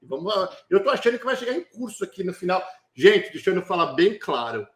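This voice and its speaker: Host, man with a high voice, eager and chatty, echoey room. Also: sing-song, fairly bright tone. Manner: enthusiastic, quick interjections. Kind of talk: casual interview, prompting guests.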